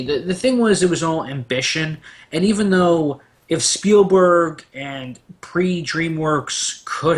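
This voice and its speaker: A man's voice: a little high, a bit bright. A man on a casual pop-culture debate panel, sharing trivia in a rambling, anecdotal and emphatic way.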